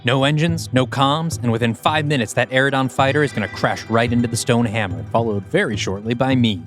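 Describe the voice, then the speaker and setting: A man's voice: mid-range voice, a little fast, soft background music, close to an everyday voice. A man voicing a cocky male fighter pilot with a dry, understated way of talking. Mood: wry.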